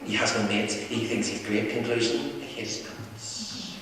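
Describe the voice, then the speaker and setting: A man playing a cheeky, dreamy young schoolboy who narrates his own story on stage, launching into high theatrical wails. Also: unhurried, fairly bright tone, slight background noise. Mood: scheming. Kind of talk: one-man stage monologue. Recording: distant, echoey hall recording with faint ambience.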